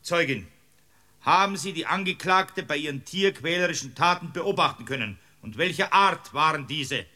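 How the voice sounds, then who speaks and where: A man's voice: fairly high pitch, expressive, normal speed, pushed and bright. A man playing an exasperated, stern and officious judge in a comic courtroom radio drama. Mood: indignant. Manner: stern, officious, easily flustered. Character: exasperated, blustering rural Bavarian judge.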